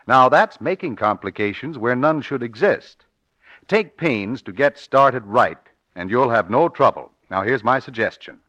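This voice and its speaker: American guy doing 40s radio advice ad, earnest, expressive, muffled dry recording. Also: medium pitch, no room sound. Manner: earnest, explanatory. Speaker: home heating expert in sponsor's advert. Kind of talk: scripted 1940s radio advice pitch. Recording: dry, dull old radio broadcast.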